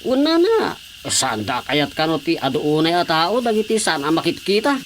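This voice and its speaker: A woman's voice: sits low, sing-song, moderate pace, a little hiss or hum. A woman with an Ilocano accent playing an earnest, helpful young village man in a radio drama.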